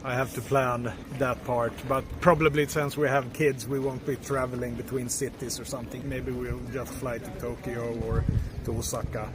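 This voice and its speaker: A man, talking casually to an interviewer, easygoing and halting with many fillers. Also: mid-range voice, little pitch movement. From Sweden. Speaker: tourist interviewee. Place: outdoors by a busy street. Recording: street traffic noise behind.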